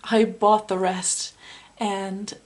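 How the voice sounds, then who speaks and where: A woman's voice: medium pitch, expressive, very slow. A woman losing her voice, giving a chatty, meandering pick-a-card tarot reading straight to the viewer. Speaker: tarot reader.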